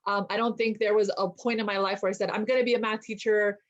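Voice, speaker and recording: Woman math teacher, mid-pitched and easygoing, filler-heavy panel talk, muffled low-fi sound. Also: fast. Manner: relaxed, conversational, frequent ums. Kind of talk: panel discussion. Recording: dull lo-fi audio.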